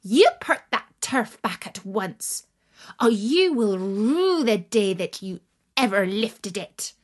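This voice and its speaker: A woman's voice: medium pitch, big pitch swings, takes it slowly. A woman voicing a tiny, bossy fairy woman from a storybook, indignant and scolding in an imperious way. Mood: angry, scolding. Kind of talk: storybook character voice.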